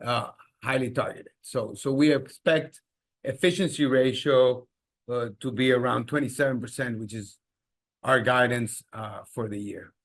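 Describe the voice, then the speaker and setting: Halting male executive explaining results. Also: medium pitch. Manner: halting, repeats words. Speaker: company executive. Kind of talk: earnings call answers, explanatory.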